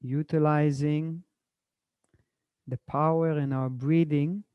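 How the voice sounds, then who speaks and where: A man from Israel speaks as a spiritual teacher and meditation guide. His voice is relaxed and somewhat high for a man, and he takes his time with very slow, courteous phrasing. The sound is dry. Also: clear, resonant tone, dry recording, highs cut off.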